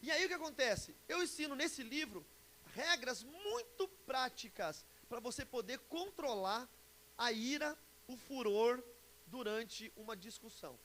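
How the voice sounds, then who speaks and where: A man's voice: extremely high pitch, expressive, unhurried, bright, forceful tone, poor audio. A Brazilian man in his forties preaching and teaching informally to a congregation in a chatty, joking way, often putting questions to his listeners. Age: 40s. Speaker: pastor and conference speaker. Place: church conference before an audience. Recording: live talk with background hiss.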